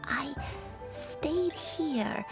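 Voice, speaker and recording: Elderly forgetful grandmother for a game, gentle, apologetic, fairly high and very slow. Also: over music. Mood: wistful, halting. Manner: halting, gentle. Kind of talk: video game voice acting.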